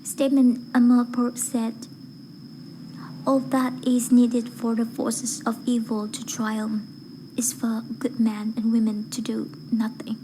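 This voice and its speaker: Higher-pitched woman reciting a speech evenly. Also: flat delivery, slow pace, dark tone, a little hiss or hum, rough recording. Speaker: voice acting learner reading a speech. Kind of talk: rehearsed public speech recitation.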